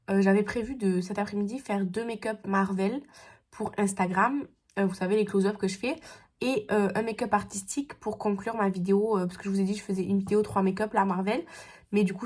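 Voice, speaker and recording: A woman's voice: mid-range voice, a little mellow. A young woman with a stuffy nose from a cold, chatting casually in a meandering beauty vlog at home, full of hesitations. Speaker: beauty vlogger.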